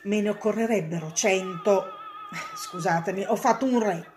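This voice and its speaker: A woman's voice: mid-range voice, normal speed, over music, lightly acted. A woman voicing a flustered, apologetic man who stammers and garbles his words in a comic storybook tale. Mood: apologetic, muddled. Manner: stammering, mangled words and misprints. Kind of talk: comic children's storybook character voice.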